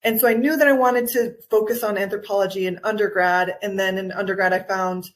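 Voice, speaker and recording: A woman's voice: medium pitch, steady tone, fairly quick. American-accented woman explaining in an interview. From Guatemala. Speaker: nutritional anthropologist and wellness coach, podcast guest. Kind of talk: conversational interview answers.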